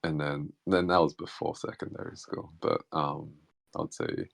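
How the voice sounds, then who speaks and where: A man, a guest in an online interview, talking reflectively and haltingly with many fillers; his first language is Hakka Chinese. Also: very deep voice, level tone, vocal fry, no room sound, studio quality. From Australia. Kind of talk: casual reflective conversation. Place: online voice chat.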